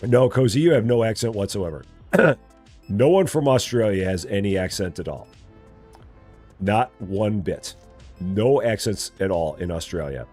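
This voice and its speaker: A man's voice: fairly low pitch, expressive, measured pace, darkish tone, slightly rough, soft background music. A man with a Midwest Illinois accent chatting casually during a live game stream, repeating himself and teasing playfully. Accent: General American, Midwest Illinois. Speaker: gaming streamer. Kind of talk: casual live-stream chat while playing.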